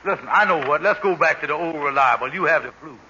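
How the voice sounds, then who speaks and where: A scheming, fast-talking husband full of plans in a vintage radio comedy. His voice is high and bright. It comes through dull, faintly hissing old broadcast audio. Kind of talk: 1940s radio comedy acting.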